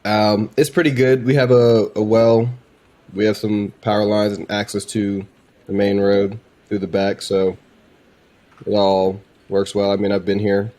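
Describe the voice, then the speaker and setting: A man with a fairly low, very mellow voice. He talks informally and conversationally, like a presenter casually walking viewers through a demo. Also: flat delivery, a little slow. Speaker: presenter demonstrating AI voice assistants. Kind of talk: casual product demo talk.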